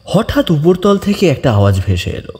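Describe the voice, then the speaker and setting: Male horror audiobook narrator; warm, relaxed timbre, expressive intonation, long echoing tail. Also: a little high, normal speed. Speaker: story narrator. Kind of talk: dramatic scripted narration of a ghost story.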